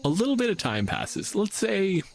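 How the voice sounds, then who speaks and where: A man's voice: sits high, wildly swooping pitch, moderate pace, vocal fry, slight background noise. A man improvising narration as a tabletop game master, casual and loose with profanity.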